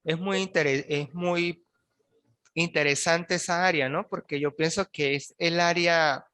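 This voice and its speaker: A man's voice: a little high, steady tone, a little slow, sharp tone, smooth. A man teaching an online postgraduate class, talking with students in a hesitant way and often checking in with "¿sí?". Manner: hesitant, restarts words, frequent checks with "¿sí?". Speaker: university lecturer. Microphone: video call.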